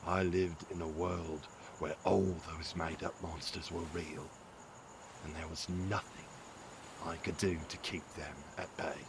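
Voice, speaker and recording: Guy acting tired gruff dad in an audio drama, real deep n slow, earnest, noisy outdoor night bg. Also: fairly even intonation. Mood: wistful. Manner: measured, weary, earnest. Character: gruff, rueful father, wary of magic.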